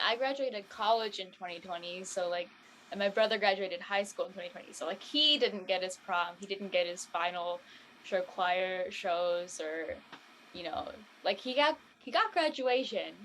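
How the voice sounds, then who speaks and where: A woman's voice: medium pitch, fairly bright tone, a little hiss or hum, rough recording. A woman in her twenties chatting casually in a panel, with a tentative way of talking. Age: 20s.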